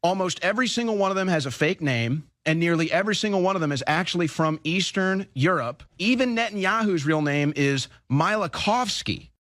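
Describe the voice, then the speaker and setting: A man's voice: high voice, lively intonation, fairly bright tone, no echo. Man rattling off a list, dry sound. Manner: rattles off a list in quick succession.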